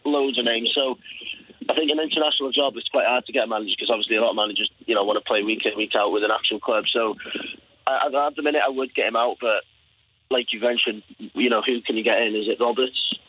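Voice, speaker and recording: A man's voice: medium pitch, fast talker, husky. A young Welsh man who grew up speaking Welsh first, calling in to a football phone-in and talking earnestly with many restarts. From Wales. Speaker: caller. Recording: tinny phone line.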